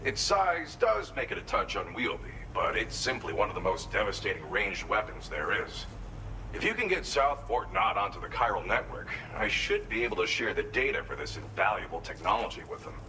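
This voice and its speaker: Conscientious game researcher, very low pitch, earnest explanations, faint background noise. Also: male voice, very animated, brisk, bright, forceful tone, exaggerated voice, low-quality recording. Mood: explanatory, keen. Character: earnest, grateful male researcher and weapons inventor briefing a courier. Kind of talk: video game cutscene dialogue.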